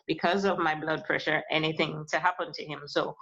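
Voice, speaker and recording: A woman from Jamaica, a musician in her thirties, speaking softly and hesitantly with many fillers in a casual interview. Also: low voice, flat delivery, fairly quick, vocal fry. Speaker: musician, guest. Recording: lo-fi muffled audio.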